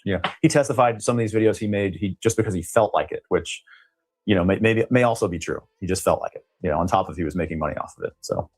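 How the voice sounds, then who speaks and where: A man's voice: deep voice, fast talker, darkish tone, husky. A man speaking as an attorney being interviewed, recounting things in a casual, explanatory way. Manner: conversational, explanatory.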